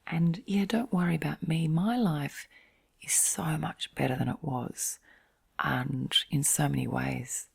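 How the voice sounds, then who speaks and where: A middle-aged woman in a soft, whispery, breathy voice, giving a gentle, reflective bedtime chat in an intimate ASMR-like style. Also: low voice, unhurried. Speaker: host of relaxation and sleep channel.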